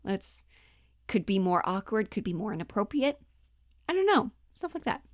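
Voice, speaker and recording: A woman explains things as an acting coach in a casual lesson style. Her voice sits at an average pitch and she talks informally, thinking aloud. The recording is dry, with hardly any room sound. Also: big pitch swings, normal speed, dry recording.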